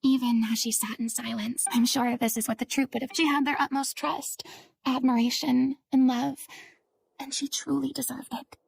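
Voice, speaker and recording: Casting: theatrical young woman, high voice, commanding but tender, articulate anime game delivery. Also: little pitch movement, normal speed, gravelly, breathy edge, no room sound, heavily acted, rough recording. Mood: somber.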